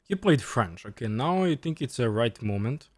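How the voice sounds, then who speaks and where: A man's voice: medium pitch, big pitch swings, normal speed, dry recording. A man in his twenties chatting casually and commentating as a chess streamer, often repeating short phrases. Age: 20s. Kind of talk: casual livestream chat and game commentary.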